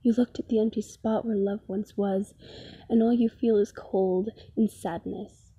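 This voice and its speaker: A woman performing a slam poem in a soft, breathy voice with a solemn, deliberate delivery. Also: mid-range voice, steady tone, no room sound, lo-fi sound. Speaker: poet. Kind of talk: slam poetry recital.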